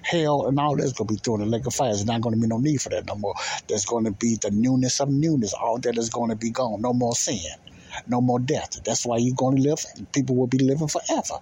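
A man's voice: medium pitch, fast talker, warm tone, slight background noise, rough recording. A man teaching the Bible in an informal sermon, speaking emphatically, with repetition and rhetorical questions.

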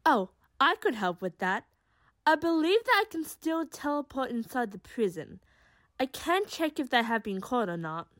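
Actress as high, brash, flippant woman. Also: very animated, moderate pace, fairly bright tone. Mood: sardonic. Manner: flippant, sardonic. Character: brash, flippant female time-traveling troublemaker. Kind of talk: audio drama acting.

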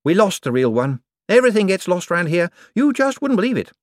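A man reading an audiobook, voicing a nervous, evasive male wizard bureaucrat who talks in a flustered, hurried way. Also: high-pitched, big pitch swings, dry recording, studio quality, voice pushed up. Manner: flustered, hurried, over-explaining. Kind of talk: audiobook character voice.

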